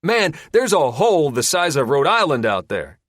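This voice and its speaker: A man's voice: fairly high pitch, very animated, moderate pace, a bit bright, no room sound, studio quality, voice pushed up. A man doing a smirking backwoods captor. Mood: mocking. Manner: taunting, folksy, rambling.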